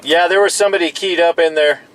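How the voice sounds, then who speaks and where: An American man with a voice a little higher than average talks as a CB operator. His delivery is casual and friendly, peppered with radio jargon. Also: fairly even intonation, fast talker, very noisy. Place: in a parked vehicle outdoors. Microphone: CB radio microphone.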